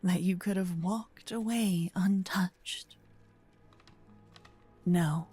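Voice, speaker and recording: Woman acting a slow, breathy, possessive vampire protector. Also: on the low side, soft background music, exaggerated voice. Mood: chiding, intense. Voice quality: soft, breathy, often whispering. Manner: slow, intimate, earnest, dramatic pauses. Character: gentle possessive female vampire kidnapper, self-proclaimed protector. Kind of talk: breathy close-up ASMR roleplay acting. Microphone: close binaural microphone, ear to ear.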